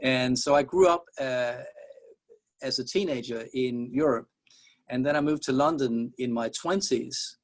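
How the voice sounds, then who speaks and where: A man's voice: mid-range voice, measured pace. Middle-aged Danish-accented man, wry lecturer. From Denmark. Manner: discursive and wry, with self-deprecating jokes. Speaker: college music teacher. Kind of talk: opening class lecture.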